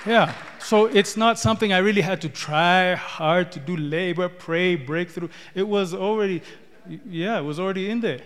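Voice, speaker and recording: A man's voice: high-pitched, moderate pace, fairly bright tone, some chatter behind. A man from Ethiopia preaching a sermon, repeating words and building in rising declarations. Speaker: pastor. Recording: long hall reverb.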